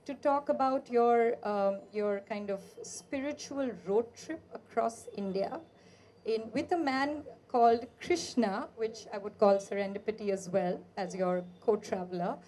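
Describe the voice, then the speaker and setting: A woman's voice: fairly high pitch, measured pace, mellow, a little hiss or hum. A woman hosting a book interview, chatty and easygoing, with frequent fillers as she sets up questions. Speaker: interviewer, host.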